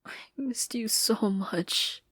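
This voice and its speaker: Teenage girl played by a woman, raised pitch, breathy, hesitant, apologetic, anxious, audio story. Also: slow, a bit bright, dry recording, in a whisper, exaggerated voice. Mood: tearful, pleading. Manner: hesitant, pleading.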